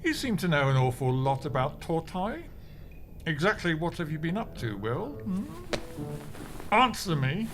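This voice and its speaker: A man's voice: a little high, very animated, unhurried, a bit bright. A man acting a grumpy, pompous, melodramatic office clerk in a comedy audio drama, blustering with theatrical indignation. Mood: suspicious. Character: pompous, grumpy, melodramatic male bureau clerk, martial-arts dabbler.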